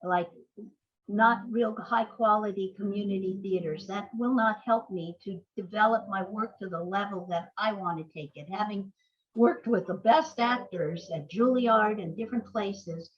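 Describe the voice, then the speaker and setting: Older female writer as interview guest, anecdotal and thoughtful, medium pitch, dull audio. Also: normal speed. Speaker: playwright being interviewed. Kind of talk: conversational interview answers. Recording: dull, lo-fi voice recording.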